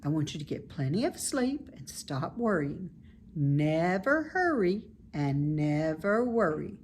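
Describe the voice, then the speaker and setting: Woman acting out a calm female spider, slow-paced, motherly, reassuring, gently firm, storybook character. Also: low voice, a little hiss or hum, exaggerated voice. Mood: motherly, instructive. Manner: measured, comforting, gently firm.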